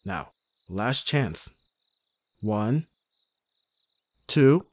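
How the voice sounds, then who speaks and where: A man playing a gruff, protective young bodyguard in an ASMR roleplay, with a husky voice and a sardonic, intimate delivery. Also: medium pitch, lively intonation, extremely slow, darkish tone, no room sound. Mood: urgent, commanding. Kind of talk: ASMR roleplay audio drama.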